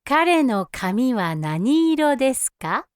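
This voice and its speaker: A woman reading scripted language-course prompts in a slow, careful, precise way. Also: high-pitched, big pitch swings, background noise. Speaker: language course audio speaker.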